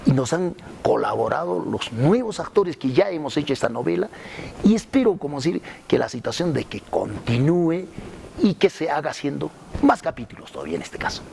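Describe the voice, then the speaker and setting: An expressive man with a Peruvian accent talks like an acting coach explaining his craft. His voice is fairly high with a creaky grain and swings widely in pitch. There is slight noise behind him. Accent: Peruvian Spanish. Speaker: acting coach and radio drama actor. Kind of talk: interview testimony with acted demonstrations.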